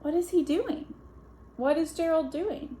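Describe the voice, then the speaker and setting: A woman's voice: very high-pitched, measured pace, dark tone, slight background noise. A woman reading a children's picture book aloud as a teacher, expressively and with simple asides to young listeners.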